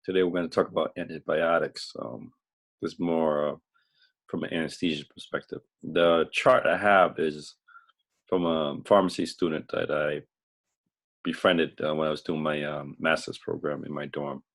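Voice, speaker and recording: A man with an extremely low, gravelly voice gives an informal lecture. He sounds under the weather, hoarse and crackling. He speaks haltingly and often corrects himself as he teaches. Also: fairly even intonation, a bit bright. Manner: halting, frequently self-correcting delivery. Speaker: host and instructor. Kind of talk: informal screen-share lecture.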